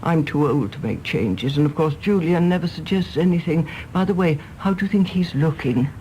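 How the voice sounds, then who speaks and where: A woman's voice: low voice, lively intonation, moderate pace, mellow, a little hiss or hum. An actress playing an outspoken elderly English mother, emphatic and genteel, affectionate but exasperated, in British radio drama style. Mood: exasperated concern. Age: older.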